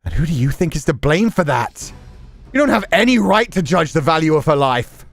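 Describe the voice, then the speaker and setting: A man's voice: on the high side, sing-song, a little fast, soft background music, voice pushed up. A man voicing a hot-headed, foul-mouthed young rebel swordsman in a visual novel, defiant and intense. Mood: angry.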